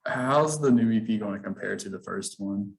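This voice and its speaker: Male interviewer, slightly deep, casual conversational podcast hosting. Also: normal speed, creaky, low-quality recording.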